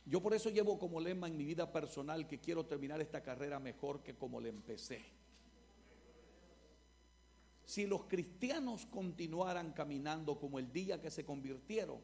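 A Salvadoran man preaching a fervent sermon as pastor, building to shouted repetitions, recorded live before a congregation. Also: fairly high pitch, gravelly, a little hiss or hum. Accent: Salvadoran Spanish. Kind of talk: Pentecostal-style sermon.

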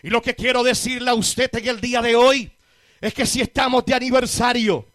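A man's voice: very high voice, fairly even intonation, moderate pace, fairly bright tone, no echo, clean studio sound. A man with a Puerto Rican accent preaching a sermon to a congregation, emphatic and repetitive, addressing his listeners directly. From Puerto Rico. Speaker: guest preacher.

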